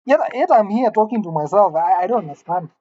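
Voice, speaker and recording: A man's voice: high-pitched, animated, quick pace, warm tone, dull audio. A man in his late twenties musing aloud to the camera in a wry, rambling, self-mocking way, alone in an empty room. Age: late 20s. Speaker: vlogger. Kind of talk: musing solo monologue to camera.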